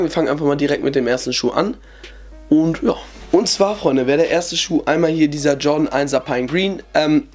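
A man's voice: medium pitch, a little mellow. A young man with a cold, chatting casually through his sneaker collection at a desk indoors, full of fillers. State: has a cold. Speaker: sneaker vlogger. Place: indoor bedroom with shoe shelves.

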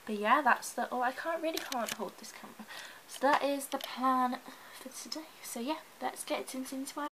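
A woman's voice: high voice, moderate pace, background noise. Chatty young woman with a cold. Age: young adult. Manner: chatty, anxious, lots of "like". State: congested from a cold. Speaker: vlogger. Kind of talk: casual vlog chat to camera. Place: bedroom at home. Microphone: handheld camera close up.

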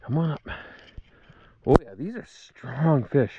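A man out on a frozen lake filming his fishing trip, chatting in slow, animated bursts through clear background noise. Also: medium pitch, narrow, tinny audio. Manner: excitable, talks to the fish. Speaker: outdoor vlogger. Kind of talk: casual chat while ice fishing. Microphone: handheld or body-worn action camera. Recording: noisy outdoor action-camera sound.

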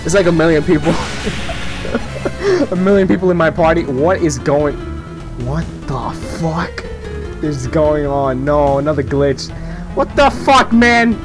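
A man's voice: fairly high pitch, lively intonation, a little slow, music in the background. A man giving rambling, profane, casual commentary while he plays a video game. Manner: rambling, profane, laughs often. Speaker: gameplay commentator. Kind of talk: casual off-the-cuff gameplay commentary.

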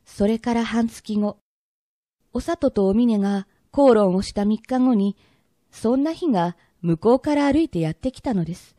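Woman telling an old-time japanese radio drama, very bright crisp edge to her voice, dry studio sound, polite measured storytelling. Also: fairly high pitch, no room sound. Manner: polite, measured narration in old-fashioned honorifics. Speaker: narrator.

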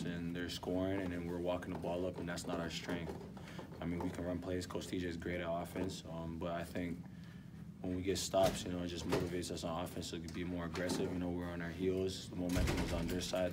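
A young man in his twenties, a college basketball player, answering postgame questions softly and hesitantly with many ums. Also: low voice, monotone, fairly quick. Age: 20s. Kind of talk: postgame press interview. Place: indoor arena hallway with block walls. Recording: distant mic, background noise.

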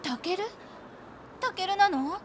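A woman's voice: extremely high pitch, takes it slowly, fairly bright tone, a little hiss or hum, exaggerated voice. An actress playing a wistful young woman recalling a lost love in an audio drama. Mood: surprised. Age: young adult. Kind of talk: audio drama dialogue and narration.

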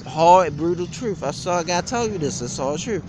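Young man ranting, animated and high-pitched. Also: normal speed, noisy background. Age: young adult. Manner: blunt, repetitive, aggrieved. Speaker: gym vlogger. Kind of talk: informal opinionated rant to camera. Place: outdoors beside a brick building on a street. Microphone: phone or webcam at arm's length.

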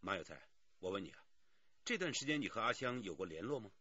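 A man's voice: on the low side, sing-song, quick pace, bright, forceful tone, breathy edge, no room sound, muffled recording, full character voice. An actor playing a direct, inquisitive male police investigator in a radio drama. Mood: inquiring.

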